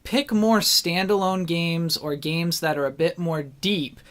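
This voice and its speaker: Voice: mid-range voice, steady tone, unhurried, a bit bright. A co-host in a casual discussion show, speaking informally and with firm opinions. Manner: informal, opinionated, mildly swearing.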